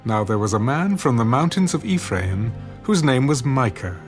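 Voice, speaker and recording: A man's voice: mid-range voice, sing-song, moderate pace. A man narrating scripture as a scripted, dramatic audio production. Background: music.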